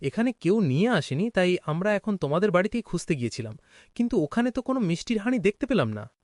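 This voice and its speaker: A man's voice: sits high, very animated, fast talker, darkish tone, dry recording, studio quality. A man voicing a worried, earnest young village lad in a cartoon.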